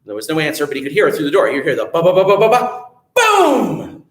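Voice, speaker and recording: A man telling a story at a children's storytime, animated, adding sound effects and asking the children questions. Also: on the high side, fast talker, roomy sound. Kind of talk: children's storytime read-aloud.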